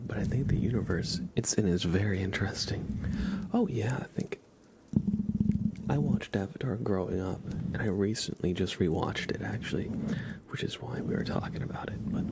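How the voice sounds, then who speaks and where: A man hosting an ASMR livestream, chatting softly and mostly in a breathy whisper. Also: on the low side, heavy background noise. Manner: soft-spoken, mostly whispering. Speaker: livestream host.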